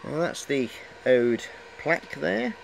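A man's voice: medium pitch, very animated, slow, gravelly, a little hiss or hum, low-quality recording. A middle-aged man giving a casual, hesitant, rambling show-and-tell on a handheld camera in a cluttered workshop. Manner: rambling, hesitant, repeats "of course". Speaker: hobbyist presenter.

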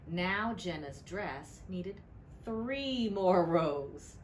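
A middle-aged woman, a librarian, reads a picture book aloud with deliberate storytelling emphasis. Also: fairly low pitch, expressive. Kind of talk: expressive storybook read-aloud. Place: indoor library room. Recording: clearly noisy room ambience.

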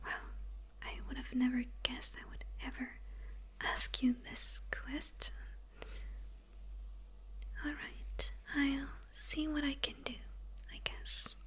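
A young woman playing a smitten, menacing yet confused female supervillain in an ASMR roleplay, speaking in a breathy, hesitant whisper close to the microphone. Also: sits high, little pitch movement, rough recording. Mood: softly possessive. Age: young adult. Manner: slow, hesitant, halting murmur with pauses.

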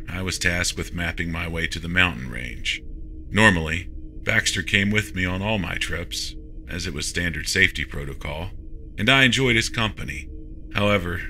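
A man's voice: low voice, pushed and bright. A man reading a first-person science fiction horror story as a scripted narration.

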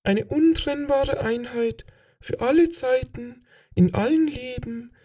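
Audiobook role: serene alien hive mind, eerie breathy whisper, slow and hushed. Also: male voice, very high voice, pitched above the performer's own voice, exaggerated voice.

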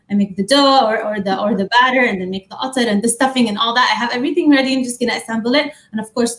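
A young woman who grew up in the Middle East chats about her baking from her home kitchen, talking over a webcam. Her voice sits a little high, and she speaks warmly, with plenty of fillers. The kitchen gives her voice a roomy echo. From Middle East, grew up in Amman. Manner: warm, chatty, many fillers. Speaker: guest baker. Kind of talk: casual chat and cooking demo. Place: home kitchen, on video call.

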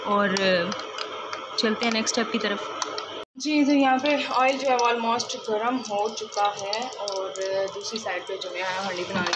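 A woman, chatting step by step through a home cooking demonstration and often using the filler "jo hai". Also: on the high side. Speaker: cooking vlogger. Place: home kitchen, indoors. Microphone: phone held over the stove. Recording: noisy phone recording in a kitchen. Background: loud kitchen noise, frying and running water.

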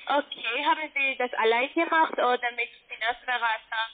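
A woman's voice: a little high, normal speed. A woman with a foreign accent making a scripted sales call as a call center agent over a tinny phone line. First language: not German.

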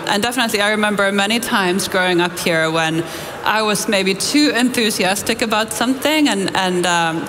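A woman in her forties from Finland, moderating an onstage conference interview with articulate, reflective questions. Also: mid-range voice. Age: 40s. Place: large conference hall stage. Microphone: headset mic. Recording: reverberant hall with background noise.

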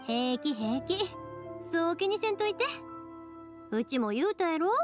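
A woman's voice: extremely high pitch, very animated, a little slow, exaggerated voice. A woman playing a spirited, caring young fireworks maker in a game, speaking reassuringly with a Kansai accent. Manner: reassuring, friendly, earnest. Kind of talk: video game voice acting. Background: background music.

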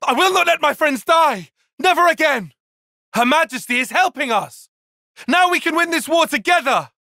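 A man's voice: very high voice, expressive, moderate pace, sharp tone, no echo, studio quality, heavily acted. An actor voicing an earnest, calculating and proud young male tactician in a video game, speaking formally and precisely with intensity. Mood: determined. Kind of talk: video game battle voice lines.

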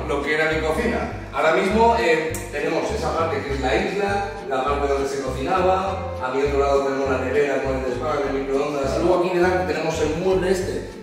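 A man in his twenties with a Spain Spanish accent giving a casual, jokey house tour to camera in empty rooms. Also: fairly high pitch. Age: 20s. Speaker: vlogger. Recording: echoey empty rooms, distant mic, music behind.

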